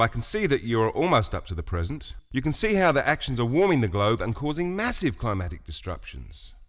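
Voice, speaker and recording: A commanding alien president, acted by a man in a radio drama. His voice is mid-pitched and very animated in its rise and fall, with a stern, decisive, oratorical delivery. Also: lightly acted. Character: commanding alien male president, stern creator passing judgement. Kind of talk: radio play acting.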